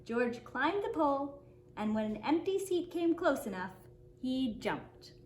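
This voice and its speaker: A woman with a high voice reads a picture book aloud for young children in a roomy, echoing space. She tells it expressively and deliberately, taking her time like a kindergarten teacher at story time, with faint noise behind her. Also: very animated.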